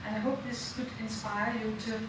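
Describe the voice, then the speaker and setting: A woman with a Danish accent speaking as a panelist in an informal talk, halting and full of fillers. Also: a little high, level tone. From Denmark. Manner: halting, with many fillers and soft laughs. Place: lecture room. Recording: echoey room from a distant mic, noisy.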